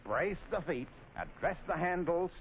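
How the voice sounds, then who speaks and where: A man's voice: on the high side, very animated, a little slow, vocal fry. An American man narrating in 1940s training film style, wry, folksy and playfully sarcastic. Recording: scratchy, tinny 1940s film soundtrack with noise.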